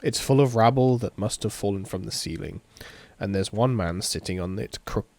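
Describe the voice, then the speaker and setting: Male let's-play reader, lower register, theatrical and expressive, narrates game text with off-the-cuff reactions. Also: moderate pace. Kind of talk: reading a visual novel aloud with reactions.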